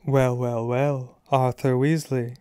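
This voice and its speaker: A man's voice: mid-range voice, fairly even intonation, a little slow, mellow, clear, resonant tone, dry recording, natural voice. A man voicing a malicious upper-class wizard father in a storybook, drawling and mock-polite with cold contempt.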